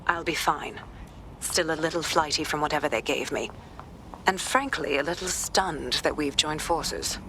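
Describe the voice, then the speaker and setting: An actress playing a determined, weary female police inspector with a British accent, frank and measured, in a video game. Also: low-pitched, background noise. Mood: shaken, grateful.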